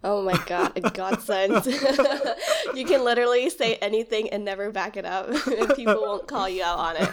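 A young woman from Malaysia co-hosts a relaxed podcast with a nasal, stuffed-up sound from a cold. She answers briskly with short agreeing replies and keeps the talk moving. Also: mid-range voice. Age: young adult. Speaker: podcast co-host. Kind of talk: casual chat.

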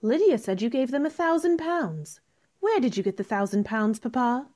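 Radio play heroine: self-possessed young woman, female performer, high register, animated intonation, courteous, firm, quietly defiant. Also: moderate pace, lightly acted. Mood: questioning. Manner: polite but firm, pointed. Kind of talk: radio theater acting.

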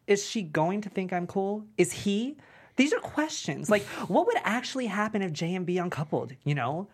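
A woman's voice: mid-range voice, big pitch swings, normal speed, husky. A woman playing a dramatic, chubby fourteen-year-old boy who fancies himself a star, gushing in teen slang at a comedy script table read. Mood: peppy, confiding.

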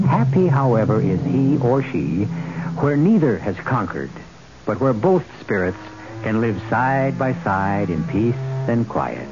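Theatrical male radio host, widely swinging pitch. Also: gravelly, very noisy. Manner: measured, philosophical, dramatic phrasing. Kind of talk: theatrical scripted narration. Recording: dull old radio broadcast recording. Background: loud music.

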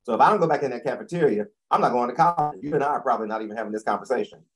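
A man in his sixties with a deep voice, telling a long personal story as an interview guest, often correcting himself as he goes. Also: very fast, breathy edge. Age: 60s. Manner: rambling, self-correcting storyteller.

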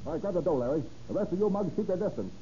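Cocky menacing gangster in muffled old radio. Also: male voice, sits high, animated, racing, music in the background. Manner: tough slangy gangster talk. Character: cocky, trigger-happy young gangster boss, cold and menacing. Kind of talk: 1930s radio crime drama acting.

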